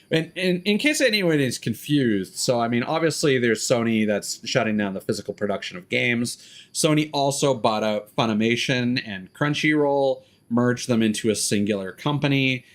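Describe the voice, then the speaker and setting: A man live streaming a game, chatting casually with rambling, profane, opinionated commentary. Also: fairly low pitch. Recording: music behind the voice.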